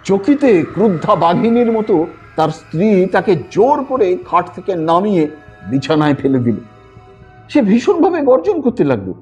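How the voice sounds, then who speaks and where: A man narrating a ghost story as a dramatic audiobook, with measured, expressive and suspenseful delivery. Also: high-pitched. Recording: dull lo-fi voice track over music. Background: background music.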